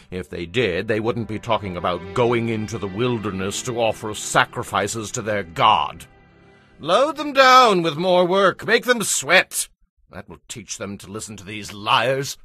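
A man's voice: fairly low pitch, fairly bright tone, close to an everyday voice. A man voicing an arrogant, harsh male ruler of Egypt who barks orders scornfully at his subjects.

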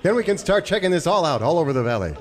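A wholesome, naive cowboy hero with a fairly high male voice and very animated swings. He plays it as old radio melodrama parody, on a reverberant stage. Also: very fast. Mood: cheerful. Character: earnest, naive heroic singing cowboy ranger. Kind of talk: parody of old-time radio serial acting. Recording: reverberant hall, noisy live stage.

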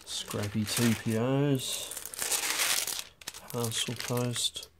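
Slow, dry-spoken man. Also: medium pitch, fairly even intonation, very noisy. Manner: dry, unhurried, wry asides. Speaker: stamp auction describer. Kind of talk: off-the-cuff lot walkthrough. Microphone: overhead camera on a desk.

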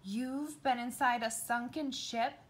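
A woman's voice: a little high, steady tone, slow, fairly bright tone, slight background noise. A woman voicing a shy young mermaid in a storybook, timid and easily amazed. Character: shy, wide-eyed young mermaid girl.

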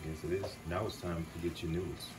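Off-camera dad, very deep, calm and instructive, noisy kitchen. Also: male voice, quick pace. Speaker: father helping off camera. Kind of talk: casual coaching. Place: home kitchen, indoors.

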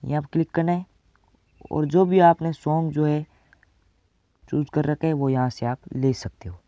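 Young male tutorial host, teens, from India. Informal step-by-step explaining, frequent check-ins, muffled low-grade recording. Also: fairly even intonation, normal speed, warm tone, no room sound. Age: teenager. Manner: addresses viewers as friends, checks in often. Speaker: tutorial presenter. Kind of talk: casual step-by-step video editing tutorial.

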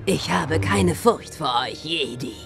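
Cold female villain, imperious, creaky, slow delivery, space audio drama. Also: sits low, animated, over music, exaggerated voice. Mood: defiant. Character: menacing female dark-side assassin commander.

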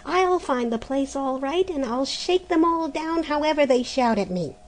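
A woman's voice: lively intonation, normal speed, slight background noise. A woman voicing a greedy, spiteful young woman who speaks harshly. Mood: demanding. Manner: shrill, harsh.

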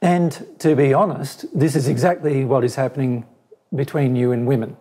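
A hoarse man in his forties with a mellow, dark, husky voice speaks earnestly and directly. He is recorded close on a lapel mic in a small studio. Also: medium pitch, steady tone, normal speed. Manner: earnest, direct, repetitive phrasing. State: hoarse, voice giving out. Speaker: spiritual teacher answering questions. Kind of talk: explanatory interview answer. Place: small studio with plain backdrop.

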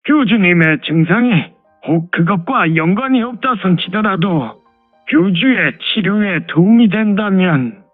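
남자가 연기하는 느릿하고 높은 음성의 무협 마교 대장로. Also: male voice, sits high, animated, measured pace, no room sound. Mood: grave, measured. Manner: old-fashioned elder speech, authoritative. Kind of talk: Korean martial-arts audio drama acting. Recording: phone-like thin sound, music behind.

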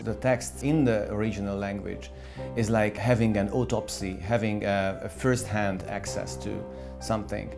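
A middle-aged man with a low voice talks thoughtfully to camera in a book-lined office. He restarts and repeats words as he gathers his point, with music clearly under him. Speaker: language instructor. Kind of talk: interview to camera. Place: office with bookshelves.

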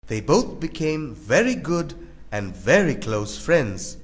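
Slow, high-voiced male storyteller. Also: sing-song. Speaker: narrator. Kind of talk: scripted children's story narration. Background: music.

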